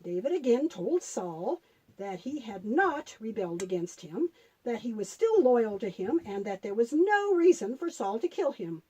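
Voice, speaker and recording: A patient granny puppet, played by a woman, tells a children's Bible story in a gently instructive way. The voice sits high, with lively melody and a soft, mellow tone. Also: normal speed, husky, rough recording. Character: patient grandmother puppet telling Bible stories.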